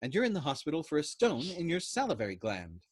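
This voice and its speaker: A belligerent army psychiatrist major from a novel, acted out by a male reader. He is pompous and scornful, browbeating in a raised voice that swings widely in pitch. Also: wildly swooping pitch, fast talker, breathy edge, dry recording, clean studio sound, exaggerated voice. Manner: emphatic, contradicting, browbeating.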